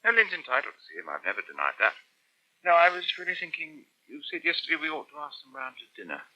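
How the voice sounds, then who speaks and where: A man's voice: a little high, wildly swooping pitch, quick pace, bright, forceful tone, full character voice. An actor playing a proud, easily wounded English husband and father in a radio soap, with a clipped, fretful manner, on a poor old recording. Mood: resentful. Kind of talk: British radio soap acting.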